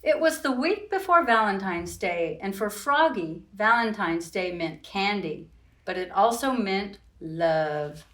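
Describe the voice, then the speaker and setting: Slow-paced woman reading children's storytime. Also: mid-range voice. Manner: expressive, addresses young children directly. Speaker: children's librarian and storytime host. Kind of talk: children's storytime read-aloud with sing-song rhymes. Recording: roomy echo, distant from the mic.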